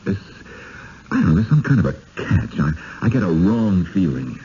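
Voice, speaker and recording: A man's voice: sits low, sing-song, normal speed, dark tone. An actor in a 1970s radio drama playing a skeptical, uneasy husband, hesitant and doubting as he speaks. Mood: uneasy, grumbling. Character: skeptical, uneasy husband visiting a strange village. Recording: dull, noisy old radio broadcast.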